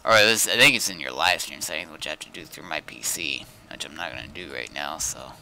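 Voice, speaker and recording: A man's voice: rumbling low, brisk, creaky, bright, forceful tone. A man chatting casually on a gaming livestream, rambling and thinking aloud as he goes.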